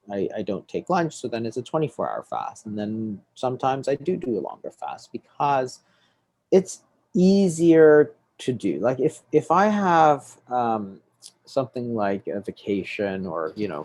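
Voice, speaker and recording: Husky middle-aged man talking over a video call from a home office. He explains things in a relaxed, conversational way and keeps checking in with "right?". His voice sits at an ordinary pitch. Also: normal speed. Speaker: guest doctor.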